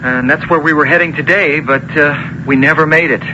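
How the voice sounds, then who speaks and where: An actor playing a puzzled, skeptical young traveler, practical and wry, in a radio-drama style. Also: male voice, fairly high pitch, fairly even intonation, fairly quick, close to an everyday voice. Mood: curious, wry. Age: young adult. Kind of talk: 1970s radio drama acting. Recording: muffled old radio recording, loud noise.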